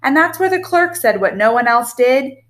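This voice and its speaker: A woman reads a children's picture book aloud expressively, with a measured, rhythmic delivery of rhyming verse. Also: sits high, expressive, a bit bright.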